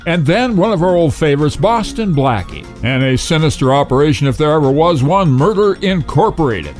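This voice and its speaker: A man's voice: a little high, lively intonation, brisk, soft background music. A man introducing a podcast as host, in a casual, chatty style.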